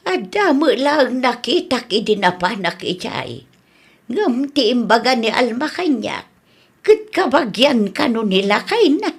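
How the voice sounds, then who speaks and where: Woman acting husky, high-pitched nosy Ilocano mother. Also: very animated, unhurried, full character voice. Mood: surprised, gossipy. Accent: Ilocano Filipino. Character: nosy, suspicious older village mother in comedy drama. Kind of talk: Ilocano radio drama acting.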